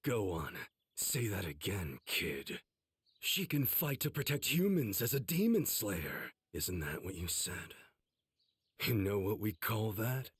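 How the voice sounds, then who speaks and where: A man playing a hot-tempered, mocking young swordsman in an anime game, with sneering, drawn-out taunts. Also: fairly low pitch, big pitch swings, husky, dry recording, clean studio sound, heavily acted. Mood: seething. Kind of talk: English anime game voice acting.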